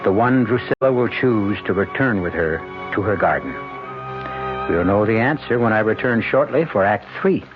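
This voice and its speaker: A man's voice: fairly low pitch, lively intonation, normal speed. A man hosting an old-time radio mystery with dramatic scripted narration. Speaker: host and narrator. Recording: muffled old radio recording. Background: music.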